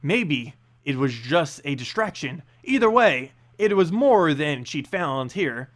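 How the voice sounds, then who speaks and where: A man reads a fantasy tale aloud as narrator. His voice sits high for a man and moves widely up and down in pitch. The delivery is dramatic and expressive, in the manner of a storybook reading. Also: slow pace. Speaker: narrator and channel host.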